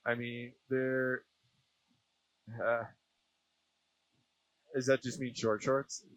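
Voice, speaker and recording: A man's voice: medium pitch, level tone, extremely slow, no echo, low-quality recording. A Canadian man chatting casually as an interview guest, informal and slangy. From Saskatchewan, Canada. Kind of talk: casual chat. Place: small aircraft cockpit.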